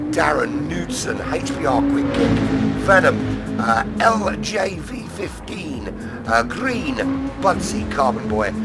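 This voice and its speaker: A man commentating a sim-racing league stream, hoarse and squeaky after losing his voice, chatty with lots of fillers. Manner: chatty, many fillers, bursts of excitement. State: hoarse, recovering from lost voice.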